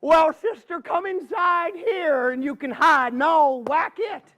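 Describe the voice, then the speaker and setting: A man's voice: very high-pitched, unhurried, bright, forceful tone, pitched above the performer's own voice, exaggerated voice. A man voicing a neighborly male groundhog in a drawling, homespun way. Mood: concerned.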